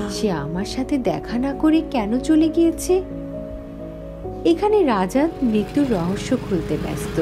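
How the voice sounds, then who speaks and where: A woman narrating a scripted horror story dramatically, in the first person as its heroine. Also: fairly high pitch. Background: music.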